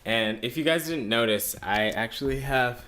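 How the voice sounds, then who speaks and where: A teenage boy, a high school senior, chatting in a rambling way in a casual video diary at home and laughing at himself. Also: moderate pace, sharp tone, a little hiss or hum. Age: teens. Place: at home, partly outdoors on a deck. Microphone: handheld camera.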